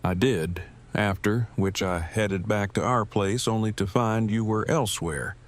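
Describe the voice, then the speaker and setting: A man voice-acting a brainy, awkward man in an audio drama, speaking in a stilted, wordy, deadpan way. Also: lowish voice, measured pace, close to an everyday voice. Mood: earnest, formal. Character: Eugene, brainy awkward man with stiff verbose formality.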